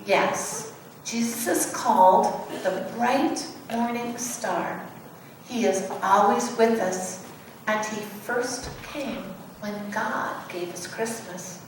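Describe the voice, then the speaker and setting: A woman voicing a gentle, patient mother bear who explains things reassuringly in a children's storybook reading. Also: mid-range voice, slow pace, natural voice. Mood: reverent. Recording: echoey room, distant mic, background noise.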